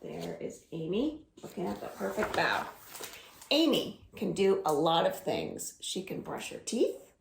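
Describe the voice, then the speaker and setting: Slow singsong woman reading storytime. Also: mid-range voice, wildly swooping pitch, creaky, reverberant, background noise. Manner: slow, singsong and coaxing, asks the listeners to join in. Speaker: children's librarian. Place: at home.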